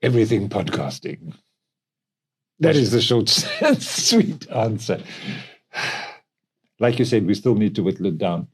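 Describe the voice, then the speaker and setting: A man's voice: fairly low pitch, very animated, unhurried, long pauses, slightly rough. A man from South Africa speaking conversationally as a company co-founder, explaining things expressively. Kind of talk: conversational interview.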